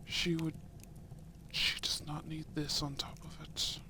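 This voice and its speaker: A man playing a young, courteous and shrewd Edwardian viscount in a romantic audio roleplay, with formal, deliberate phrasing and soft murmurs. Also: on the high side, completely flat delivery, in a whisper. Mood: grave, confiding.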